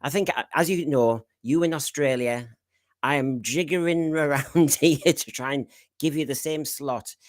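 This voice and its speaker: A man's voice: on the high side, measured pace, no echo. A man hosting a live fan podcast stream, chatty and jokey, rambling through asides and housekeeping. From Britain. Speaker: podcast host. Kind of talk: casual live stream chat. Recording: dry close sound.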